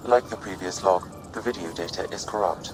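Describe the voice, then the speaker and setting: Man as low, unemotional AI assistant. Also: over music, natural voice, low-quality recording. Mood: neutral. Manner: measured, formal, unemotional. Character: calm, precise male artificial intelligence assistant. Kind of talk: video game cutscene acting.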